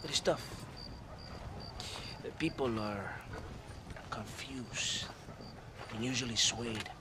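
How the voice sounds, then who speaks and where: An actor voicing a sly, theatrical Mexican army captain with a Mexican Spanish accent, who swings between mocking laughter and hushed, mournful confiding. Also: male voice, mid-range voice, takes it slowly, natural voice. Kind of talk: video game cutscene acting. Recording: noisy outdoor game soundscape.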